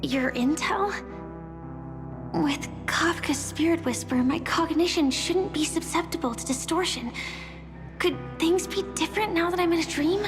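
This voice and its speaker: High-voiced, guarded young game heroine. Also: female voice, a little slow. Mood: questioning, suspicious. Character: guarded, skeptical young heroine, serious and questioning. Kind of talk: anime-style game voice acting. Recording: prominent music behind the voice. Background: game soundtrack music.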